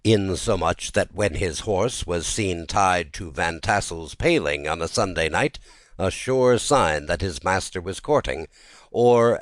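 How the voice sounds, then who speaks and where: A man narrating a classic literary tale in a theatrical, expressive storytelling manner. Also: low voice, no room sound, clean studio sound. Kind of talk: dramatic literary audiobook narration.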